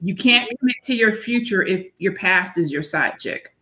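A woman's voice: medium pitch, normal speed. A woman in her forties talking earnestly as a guest about her book, often restarting and repeating words as she builds up steam. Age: 40s. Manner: earnest, faith-driven, repeats words when excited. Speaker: author and guest. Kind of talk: casual interview chat.

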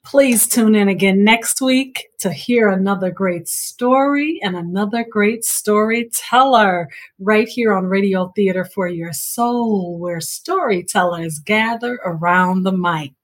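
A woman's voice: medium pitch, a little slow. A woman hosting a podcast, interviewing a guest and addressing her listeners. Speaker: podcast host.

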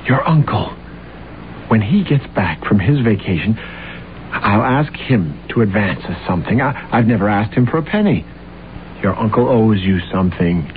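A brash, self-satisfied husband, as an actor plays him in a vintage radio drama, his pitch leaping up and down as he talks. The sound is thin and phone-like, with a faint hiss underneath. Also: lively intonation, moderate pace, close to an everyday voice. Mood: scheming. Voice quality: brash and blustering. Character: boastful, self-deluded young husband and lawyer. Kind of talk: 1970s radio drama acting. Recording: tinny old radio broadcast with faint hiss.

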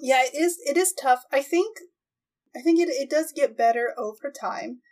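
A woman with a fairly high voice talks as the host of a casual podcast. She thinks aloud, often qualifying what she says. The delivery is conversational and tentative. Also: normal speed, a little mellow. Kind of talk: casual chat about anime.

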